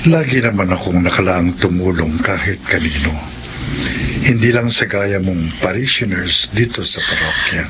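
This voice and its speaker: A calm, helpful Filipino parish priest speaks in a low voice in a radio drama. The recording is muffled, with noticeable noise. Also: male voice, moderate pace. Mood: calm, assuring.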